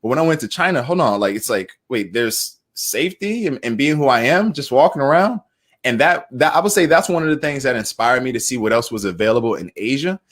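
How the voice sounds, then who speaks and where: An American man in his thirties telling his personal story as an interview guest, earnest and halting, often repeating words. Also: mid-range voice, expressive, fairly bright tone. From United States. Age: 30s.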